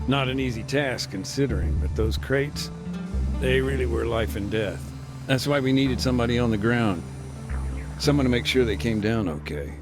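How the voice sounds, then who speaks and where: A man voices a middle-aged, war-weary ex-peacekeeper in a military game cutscene, his voice sitting at an ordinary male pitch. He talks plainly, drops army jargon, and keeps a wry edge. Music plays clearly underneath. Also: normal speed, close to an everyday voice. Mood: calm. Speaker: interviewee.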